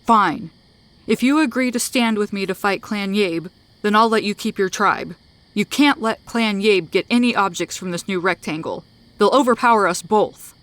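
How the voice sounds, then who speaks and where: A woman's voice: mid-range voice, moderate pace, a bit bright, no echo, lightly acted. A woman voicing a smug, grudging male tribe leader and rival brother in a sci-fi story, matter-of-fact and emphatic. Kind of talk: dramatised sci-fi story reading.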